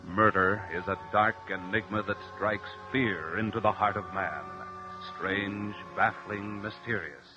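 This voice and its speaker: A man announcing a radio drama in a grave, portentous, deliberate scripted style. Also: lowish voice, steady tone. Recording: dull old radio broadcast recording. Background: music.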